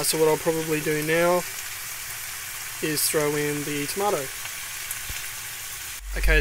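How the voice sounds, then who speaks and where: A man's voice: fairly high pitch, slight background noise, rough recording. An Australian man talking casually through a cooking walkthrough inside a small van, with many ums and restarts. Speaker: vlogger.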